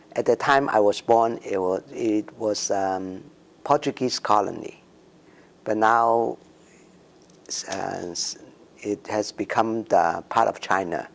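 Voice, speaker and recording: Slow-speaking man in his sixties, gravelly. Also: on the low side. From Macau and Hong Kong. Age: 60s. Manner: slow, hesitant, many ums and restarts. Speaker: interviewee. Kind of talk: oral history interview.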